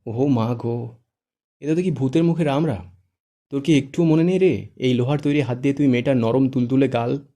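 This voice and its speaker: A man's voice: medium pitch, level tone, a little fast, mellow, close to an everyday voice. A man voicing a cheeky, dramatic young man who mocks his friend in a raised, theatrical voice. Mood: teasing.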